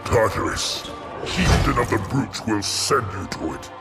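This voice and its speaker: An actor playing a big, menacing alien war chieftain in a video game, sneering and boastful in a deep gravelly voice. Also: male voice, expressive, measured pace, loud music behind the voice. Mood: gloating.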